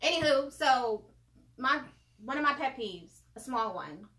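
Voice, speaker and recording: A woman's voice: high voice, lively intonation, a bit bright. A woman in her forties co-hosting a podcast, chatting casually and teasing her co-host. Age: 40s. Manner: teasing, chatty, quick to laugh. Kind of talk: casual chat. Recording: roomy with faint background noise.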